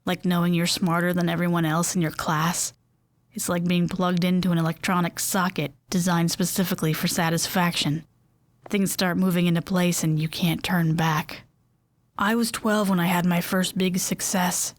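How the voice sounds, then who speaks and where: A low-voiced woman reading a wry story. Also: flat delivery, normal speed, no echo. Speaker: story reader. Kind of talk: scripted first-person narration.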